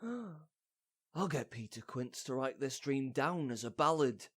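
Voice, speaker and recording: A man playing a foolish, bombastic amateur actor in a comic audio drama, theatrical, bumbling and halting. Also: medium pitch, expressive, fairly bright tone. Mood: dazed, wondering.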